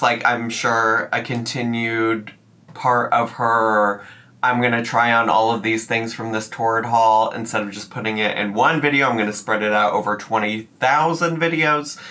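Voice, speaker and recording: A man chats through a reaction video in a bright, forceful voice. It is a little raspy while he gets over an illness. He keeps dropping sarcastic asides between comments. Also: medium pitch. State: slightly raspy, recovering from illness. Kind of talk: casual commentary.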